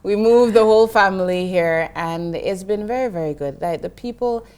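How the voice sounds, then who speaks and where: Jamaican-born woman explaining investing, mellow voice. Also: mid-range voice, normal speed, clean tone. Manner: earnest, explanatory, with frequent restarts. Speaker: investment professional guest. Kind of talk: interview conversation.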